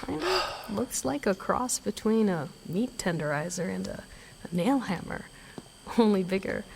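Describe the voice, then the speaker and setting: A woman voices a wry, nervous young adventurer in an audio drama, her voice gravelly with fry. She speaks slowly and makes dry, hesitant remarks. Noise can be heard under the recording. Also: medium pitch, expressive.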